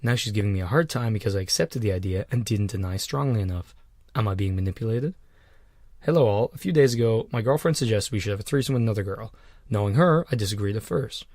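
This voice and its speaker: A man's voice: on the low side, lively intonation, fast talker, darkish tone, no room sound. A man co-hosting a podcast, chatting loosely with restarts and swearing. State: on cold medication. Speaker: podcast co-host. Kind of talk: casual chat.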